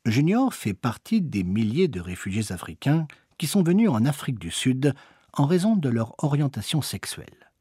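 A man's voice: mid-range voice, very animated, normal speed, warm tone, slightly rough, no echo, studio quality. A man narrating a reportage from a prepared script. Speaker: documentary narrator.

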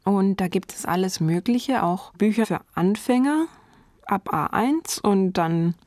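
German-born woman in her 30s, a language teacher, talking through her answers calmly, dry close sound, mid voice. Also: medium pitch, vocal fry, dry recording. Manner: thoughtful, measured, enthusiastic about teaching. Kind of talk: portrait interview answers.

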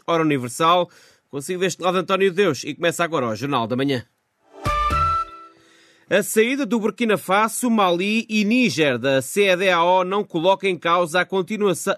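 A man's voice: fairly high pitch, a bit bright, dry recording, studio quality. A man presenting a scripted morning news programme on the radio. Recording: music bed under voice. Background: music.

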